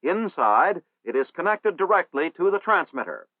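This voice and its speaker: A man narrating a military training film in a formal, measured, instructional manner. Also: fairly high pitch, very animated, no room sound. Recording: old 1960s film soundtrack, tinny.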